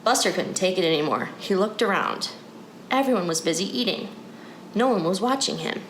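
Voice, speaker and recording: A woman's voice: mid-range voice, lively intonation, normal speed, fairly bright tone, reverberant, low-quality recording. A woman reading a children's storybook aloud as a narrator. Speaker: story reader. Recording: clear background noise.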